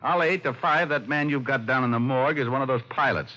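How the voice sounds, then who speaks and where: An actor playing a shrewd, confident private detective, wry and colloquial, in an old-time radio drama. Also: male voice, medium pitch, quick pace, pushed and bright, lightly acted. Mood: excited deduction. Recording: dull vintage radio recording.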